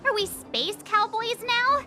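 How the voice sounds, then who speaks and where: A woman's voice: very high-pitched, expressive, slow pace, full character voice. A woman voicing a bubbly, upbeat young girl companion in a game, chirpy and playfully teasing. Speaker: game character. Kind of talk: game voice acting.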